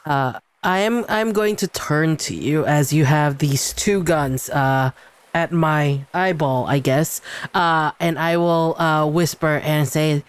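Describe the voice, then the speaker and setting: A man's voice: fairly high pitch, slow, clear, resonant tone, no echo. Male player, clean resonant tone, improvising his character's actions casually, recorded dry. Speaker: tabletop game player.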